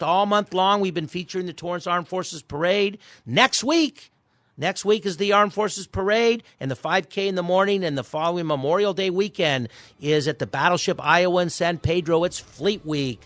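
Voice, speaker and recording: Male radio host, slightly high voice, dry studio sound, talks in halting starts and restarts. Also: fairly bright tone, dry recording. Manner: chatty, halting, with many restarts and fillers. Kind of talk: casual radio interview and sign-off.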